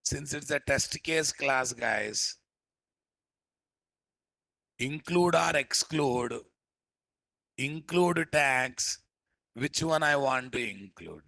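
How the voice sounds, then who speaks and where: Rough-voiced male instructor. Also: medium pitch, expressive, drawn-out words, pauses often, bright, forceful tone, no echo. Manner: scolding, teasing, keeps asking class questions. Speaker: programming instructor. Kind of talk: live coding class lecture.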